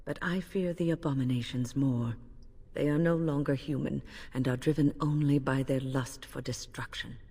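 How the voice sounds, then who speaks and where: A woman playing an older mage in a fantasy video game, grave, weary and composed, telling things in a measured way. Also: a bass voice, fairly even intonation, mellow, breathy edge, big-room sound, exaggerated voice. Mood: grave, resolute.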